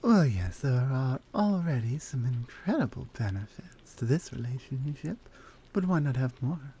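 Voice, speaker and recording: A woman voicing a flirty, confident lioness in an intimate, teasing ASMR roleplay, softly coaxing and often slipping toward a whisper. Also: extremely low pitch, full character voice. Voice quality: soft, breathy. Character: flirty, confident, forward adult lioness armorsmith.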